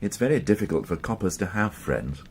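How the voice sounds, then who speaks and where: An actor playing a dry, probing British police inspector in a radio crime drama, measured and questioning. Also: male voice, lowish voice, lively intonation, slight background noise, natural voice. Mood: dry, gentle.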